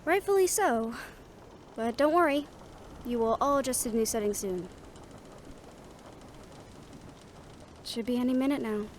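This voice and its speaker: Woman acting as a high-voiced, excitable fantasy companion. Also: close to an everyday voice. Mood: reassuring. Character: supportive, excitable young woman in a fantasy adventure. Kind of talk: audio roleplay acting. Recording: faint ambient vehicle noise behind.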